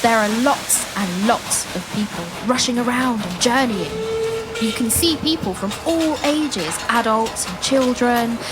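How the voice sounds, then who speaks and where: A woman playing an adventurous storyteller in an audio play for children, addressing listeners directly with dramatic pauses. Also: on the high side, heavy background noise, lightly acted.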